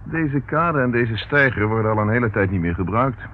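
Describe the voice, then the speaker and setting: An actor playing a police inspector in a radio play, probing and teasing but with a tender side. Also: male voice, medium pitch, lively intonation, normal speed, close to an everyday voice. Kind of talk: radio play acting in Dutch. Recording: muffled, narrow-band, noisy old radio recording.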